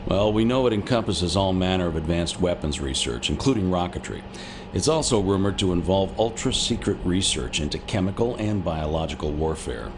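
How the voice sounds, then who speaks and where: An actor playing a blunt intelligence officer giving a businesslike wartime briefing in a video game. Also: male voice, low-pitched, animated, a little fast, noisy background. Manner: businesslike, deferential, plain-spoken.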